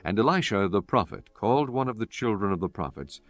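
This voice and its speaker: A man narrating a dramatized scripture audiobook in a measured, solemn way. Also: fairly low pitch, animated, no room sound. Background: music.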